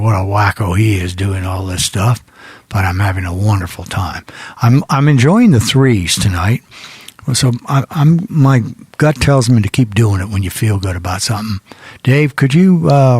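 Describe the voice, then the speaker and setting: A man's voice: fairly low pitch, normal speed, a little mellow, slightly rough, no room sound. A man hosting a casual radio show, chatting in a folksy, rambling way and often repeating himself.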